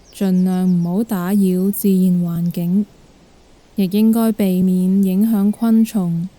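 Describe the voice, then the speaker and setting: A woman with a Hong Kong Cantonese accent guiding a meditation in a gentle, calm manner. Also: mid-range voice. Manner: gentle, calm, unhurried instruction. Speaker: guided meditation instructor. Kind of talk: guided meditation narration.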